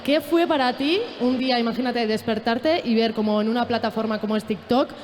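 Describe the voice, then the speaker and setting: A woman's voice: fairly high pitch, brisk, a little hiss or hum. A woman co-hosting a live festival interview in a casual, chatty style. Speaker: radio co-host, interviewer. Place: festival radio set. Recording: reverberant live sound, music behind.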